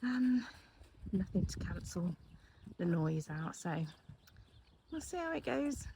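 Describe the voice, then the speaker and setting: A woman with a mid-range voice talks in a relaxed, easy way while filming outdoors. Faint wind noise sits behind her on the camera mic. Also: mid-range voice, takes it slowly, gravelly. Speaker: helper filming the rider. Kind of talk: casual chat. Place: outdoor riding arena, windy. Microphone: camera mic, no wind cancelling.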